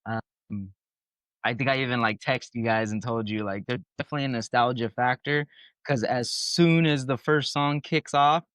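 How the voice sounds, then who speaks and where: A laid-back man co-hosts a casual movie podcast, rambling with plenty of ums and likes. His voice is smooth and clear, and the recording is dry and close. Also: medium pitch, normal speed, a bit bright, dry recording. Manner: laid-back, many fillers like um and like. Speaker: podcast co-host. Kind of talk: casual film podcast chat.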